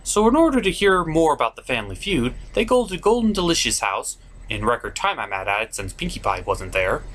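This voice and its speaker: Male host for scripted comic review narration, theatrical and exclamatory, with lively swings in pitch.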